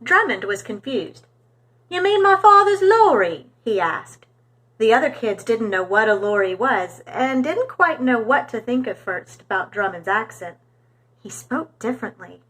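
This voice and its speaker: A woman reading a storybook aloud for young children in an expressive, animated storytelling manner. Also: medium pitch, moderate pace, rough recording.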